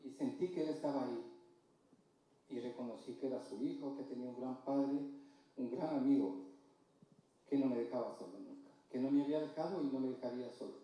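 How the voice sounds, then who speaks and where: A man from Ecuador with an Ecuadorian Spanish accent, giving a slow, halting, earnest personal testimony in church. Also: mid-range voice, warm tone. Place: church hall. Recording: distant mic in echoey church hall.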